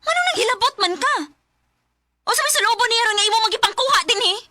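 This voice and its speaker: An actress with a Cebuano Filipino accent playing a grieving, emotional wife and schoolteacher in denial, in a radio drama. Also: female voice, very high voice, animated, fast talker, bright, forceful tone, no room sound, full character voice. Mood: drowsy, irritated. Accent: Filipino, Cebuano-speaking. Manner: emotional, melodramatic. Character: grieving wife in denial over her lost husband, schoolteacher. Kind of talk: Philippine radio drama acting.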